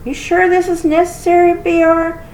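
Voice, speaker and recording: A woman voicing a giant, strong folk-hero man with a deliberate manner, in a storybook reading. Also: very high voice, level tone, darkish tone, voice pushed up. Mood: doubtful. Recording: roomy echo with clear noise.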